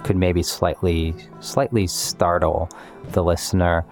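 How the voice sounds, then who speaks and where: A man, a musician and composer, talking reflectively and in measured phrases about his work. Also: low-pitched, steady tone, vocal fry, a little mellow. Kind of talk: reflective interview monologue about making music. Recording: music playing behind the voice. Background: guitar-led instrumental music.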